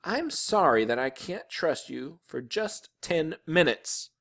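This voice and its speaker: A man's voice: medium pitch, big pitch swings, moderate pace, creaky. A man voicing a mother of young children in a storybook, doting but stern, with a fussing, firm manner. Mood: angry, stern. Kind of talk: audiobook character voice.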